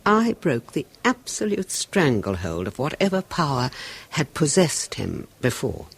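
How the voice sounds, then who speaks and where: An anguished violinist's wife in an old radio play. Her voice is husky and gravelly, sitting fairly high, and it swings widely in pitch. She confides her story intensely, sinking into hushed whispers. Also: very animated, measured pace, heavily acted. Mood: haunted. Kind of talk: 1970s radio drama acting.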